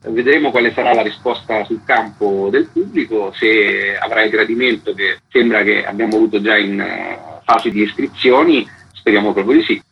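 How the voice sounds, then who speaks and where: A man explaining a live role-play game as a guest in a radio interview, calm and measured. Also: lowish voice, vocal fry, breathy edge. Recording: dull radio broadcast.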